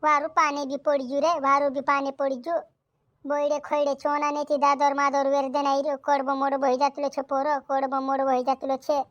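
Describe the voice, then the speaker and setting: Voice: sits high, completely flat delivery, moderate pace, fairly bright tone, dry recording, muffled recording. A performer voicing a cartoon village farmer who laments in repetitive, doubled words for a regional comedy. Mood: complaining.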